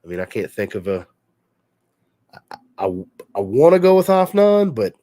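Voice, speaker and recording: A man's voice: medium pitch, normal speed, dry recording. Congested man chatting on a livestream. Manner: relaxed, reads chat questions aloud and answers conversationally. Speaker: fragrance reviewer answering live chat. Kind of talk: casual livestream chat.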